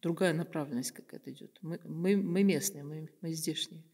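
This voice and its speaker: An older woman from Kazakhstan, a guest artist answering questions thoughtfully, with many pauses and hesitant fillers. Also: sits low, a little mellow. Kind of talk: reflective interview talk. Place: bright room with plants, seated at table. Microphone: table microphone on stand. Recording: roomy reverberant room.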